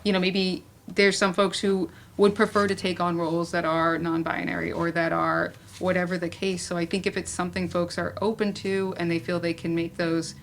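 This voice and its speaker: A woman's voice: lowish voice, level tone, moderate pace, creaky, few pauses. A woman moderating a casual panel discussion, speaking conversationally with lots of "like" and "you know". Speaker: panel moderator, playwright and director. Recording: noisy room at a live event.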